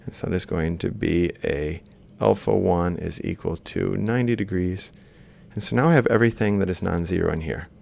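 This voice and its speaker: A man's voice: sits low, normal speed, vocal fry, hardly any pauses, a little mellow. A man lecturing informally, thinking aloud as he explains step by step while drawing. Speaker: robotics lecturer.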